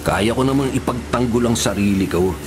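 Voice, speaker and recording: Man acting calm, firm prison boss, music behind. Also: mid-range voice, moderate pace, lightly acted. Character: big, muscular, steady male prison cell leader. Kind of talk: radio drama acting.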